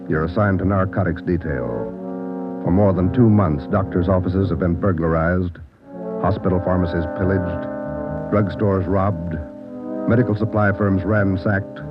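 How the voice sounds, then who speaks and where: A low-voiced American man delivers dramatic scripted narration over music on a dull old radio recording. He announces in short, grave, emphatic phrases.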